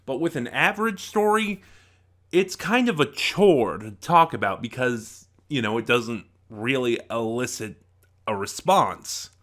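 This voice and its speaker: Bright-voiced man giving a halting review. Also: mid-range voice, animated. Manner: halting, self-correcting, rephrases as he thinks aloud. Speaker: audio drama reviewer and video host. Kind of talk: informal spoken review, talking to camera.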